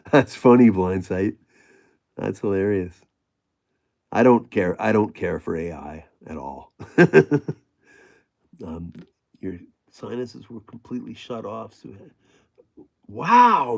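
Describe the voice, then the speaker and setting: Man, gravelly, middle-aged, low voice, rambling livestream chat, lots of ums. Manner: rambling, many fillers and restarts, chatty. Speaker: livestream host reading chat.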